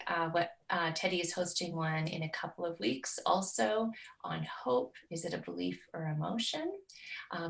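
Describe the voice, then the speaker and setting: A woman's voice: fairly low pitch, creaky, a bit bright, distant mic, poor audio. A woman hosting a webinar, introducing the speaker in a hesitant way with fillers, over a video call. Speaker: webinar host.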